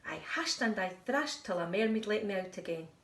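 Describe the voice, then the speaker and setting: A woman's voice: medium pitch, expressive, moderate pace, fairly bright tone, far from the mic, close to an everyday voice. Tiddler, a woman voicing a little tale-telling fish. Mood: eager excuses. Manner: eager, apologetic excuses. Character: small boastful young boy fish who tells tall tales. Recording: echoey room.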